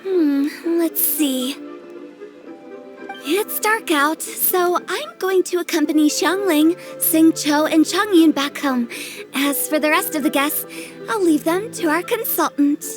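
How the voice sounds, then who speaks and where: A cheeky young girl in charge, from an anime game. Her voice is extremely high and breezy, playful and a little bossy, with faint music behind it. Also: slow pace. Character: playful, bossy, mischievous teenage girl funeral director. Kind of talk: anime game dub acting.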